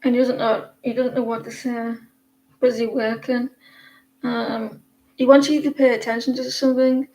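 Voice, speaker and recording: A woman's voice: on the high side, level tone, normal speed, darkish tone, low-quality recording. A woman in her forties from northeast England, with a northeast English accent, giving a casual, rambling tarot reading full of fillers and restarts. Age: 40s. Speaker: tarot reader.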